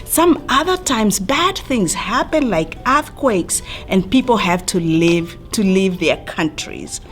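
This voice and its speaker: A woman speaks to young children as if at story time. Her pace is slow and her pitch moves up and down a great deal. She explains things simply and asks questions, with soft music in the recording. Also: lively intonation. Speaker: refugee center worker reading a picture book to children. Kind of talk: read-aloud and talk addressed to young children.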